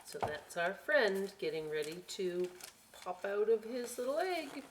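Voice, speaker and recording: A woman's voice: mid-range voice, animated, measured pace, background noise. A middle-aged woman reading picture books to young children at a library storytime, playful and conversational, with chatty asides. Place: inside a library.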